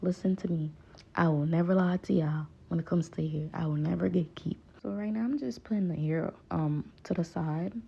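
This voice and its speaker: A woman's voice: on the low side, normal speed, gravelly, dark tone, slightly rough, highs cut off. A young adult woman with a strong Haitian accent, patiently talking viewers through a hairstyling tutorial in a voice-over. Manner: patient, pleading, repeats "please, guys".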